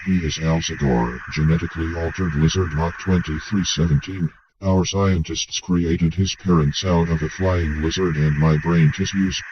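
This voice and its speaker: A man voicing a tall, rustic old male chieftain who bellows gruffly in a story reading. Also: low-pitched, fairly even intonation, normal speed, few pauses, lightly acted. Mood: proud. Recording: dull lo-fi with hiss.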